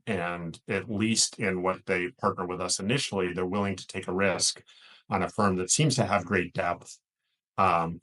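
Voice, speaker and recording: An American man speaking as an executive guest in an interview, earnest and measured, often rephrasing as he explains. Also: deep voice, a bit bright, poor audio. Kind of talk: thoughtful interview answer.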